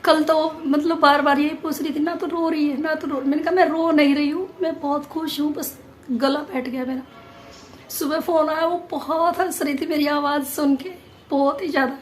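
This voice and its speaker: A woman vlogger chatting casually to viewers, her voice hoarse and nearly gone, pushing hard to get the words out. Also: high-pitched, fairly even intonation, moderate pace. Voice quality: strained, forced voice.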